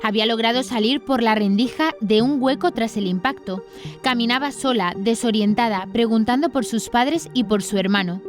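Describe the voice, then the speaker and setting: A female news presenter from reads a prepared script. Her voice sits a little high, and music plays underneath. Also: moderate pace.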